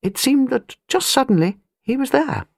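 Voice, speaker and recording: A woman's voice: high-pitched, animated, moderate pace, creaky, darkish tone, dry recording, studio quality. A British woman reading a novel as an audiobook narrator, precise and wry. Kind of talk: scripted literary narration.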